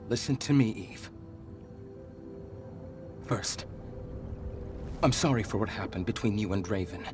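An actor playing a solemn, remorseful elder leader of monsters in a video game cutscene, speaking in a measured, earnest way. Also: male voice, mid-range voice, natural voice. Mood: sorrowful, apologetic.

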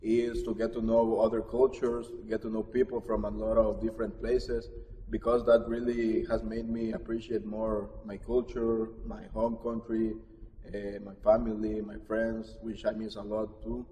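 Low flat-voiced young man from Honduras in a big chapel. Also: normal speed. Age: young adult. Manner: earnest, grateful, with fillers. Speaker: graduate student. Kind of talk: unscripted personal remarks. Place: large chapel with stone walls, indoors. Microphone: camera mic from across the room. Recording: hall reverb, distant mic, faint room hiss.

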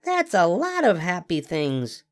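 An older man reading a storybook aloud, voicing a small, sleepy, eager young female rabbit in a high, sing-song voice. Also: wildly swooping pitch, measured pace, full character voice.

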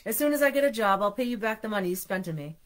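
A woman's voice: medium pitch, animated, racing, natural voice. A woman voicing an eager, tender-hearted male donut who longs to belong, in a children's storybook read-aloud. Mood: downcast, brave.

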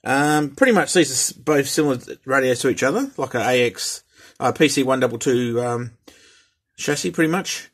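A middle-aged man with an Australian accent and a husky voice, talking casually and a little hesitantly as he hosts a hobby vlog. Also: mid-range voice, lively intonation, normal speed. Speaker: host and radio hobbyist.

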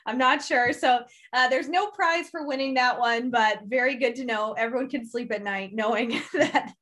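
A young woman with a fairly high voice hosts a casual interview over a video call. She is upbeat and encouraging, with a giggly way of talking. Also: normal speed, a bit bright. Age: young adult. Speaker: interviewer, host.

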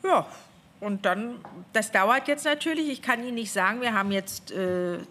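A woman's voice: a little high, moderate pace, sharp tone. A woman in her fifties, a government official, reporting to a parliamentary committee in a meeting room, talking freely and animatedly, often restarting her phrases. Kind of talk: spontaneous spoken report. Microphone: gooseneck desk microphone.

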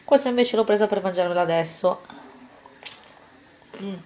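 Cooking vlogger, woman, friendly step-by-step recipe chat, average pitch. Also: normal speed, warm tone, a little hiss or hum. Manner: chatty, step-by-step instructions to viewers. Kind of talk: casual cooking demonstration. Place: home kitchen table, indoors.

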